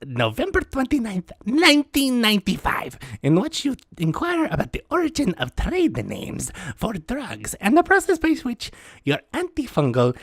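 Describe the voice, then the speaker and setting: A man voicing a pompous, theatrical cartoon mad scientist villain, pitched high. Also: wildly swooping pitch, moderate pace, no echo, heavily acted.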